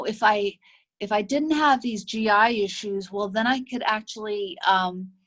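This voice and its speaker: A woman chiropractor and health practice owner gives a conversational talk to an association. She often checks in with "make sense?" and acts out her patients' remarks. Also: medium pitch. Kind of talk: presentation to an association.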